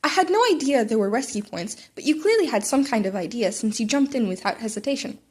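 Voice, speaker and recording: A woman's voice: on the high side, animated, quick pace, breathy edge. A woman voicing an earnest, polite teenage schoolboy in a story, speaking formally. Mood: earnest praise.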